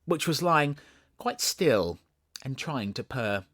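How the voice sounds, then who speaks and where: A man with a Southern accent reading a storybook as an audiobook narrator, in an expressive, theatrical way. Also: medium pitch, slow pace, dry recording, clean studio sound.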